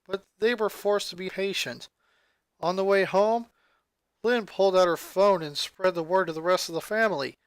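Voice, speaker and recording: A narrator reading scripted fan fiction aloud in an expressive storytelling style. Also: mid-range voice, no echo.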